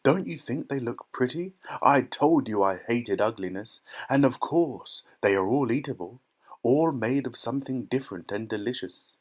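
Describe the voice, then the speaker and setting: An eccentric, excitable factory owner from a children's storybook, acted out by a man. He is exuberant and flamboyant. He shows off like a showman and repeats things for emphasis. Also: mid-range voice, moderate pace, close to an everyday voice. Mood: gleeful showing off.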